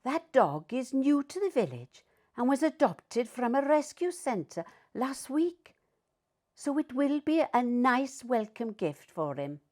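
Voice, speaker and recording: A woman's voice: high-pitched, very animated, takes it slowly, darkish tone, pitched above the performer's own voice, heavily acted. A woman voicing a cheerful, knowing dog in a read-aloud story.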